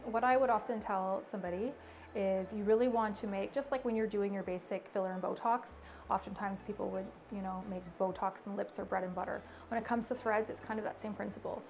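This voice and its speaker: A woman speaks with a gravelly, creaky edge, pausing on fillers as she explains her views. Her voice sits mid-range, with faint room noise behind her. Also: mid-range voice. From Canada. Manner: thoughtful, hedging with fillers. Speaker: nurse practitioner. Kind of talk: testimonial interview answers.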